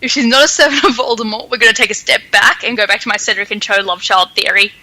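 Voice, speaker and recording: Giggly woman podcaster just chatting and theorizing, bright voice, really dry recording. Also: on the high side, fairly even intonation, fast, no room sound, poor audio. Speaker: podcast host. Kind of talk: casual chat, theorizing about a story.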